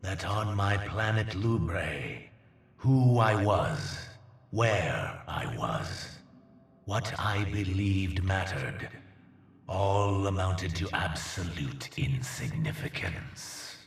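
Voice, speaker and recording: Menacing alien game villain, deep and grandiose, big swoops in pitch, echoey and a bit far off. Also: male voice, animated, gravelly, heavily acted. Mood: reverent, fervent. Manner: grandiose, theatrical, deliberate, dropping into hushed emphasis. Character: proud, menacing alien conqueror devoted to his master. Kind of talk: dramatic video game villain monologue.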